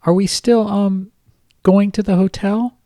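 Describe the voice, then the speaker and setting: A man voicing a young man who fakes a teenage girl's voice, babyish and high with a hint of manliness, hesitant and full of filler words. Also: steady tone, a little slow, mellow, no room sound, clean studio sound. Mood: coy. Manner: hesitant, lots of um and like.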